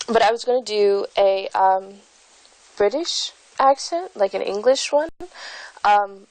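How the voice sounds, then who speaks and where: A woman's voice: medium pitch, no echo, poor audio. Rambling, giggly young woman. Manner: rambling, self-conscious, giggly. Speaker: vlogger. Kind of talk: casual rambling vlog. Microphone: phone held at arm's length.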